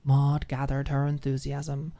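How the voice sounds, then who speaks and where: A woman reading a scripted story as an audiobook narrator, in an expressive ASMR storytelling style. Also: rumbling low, measured pace.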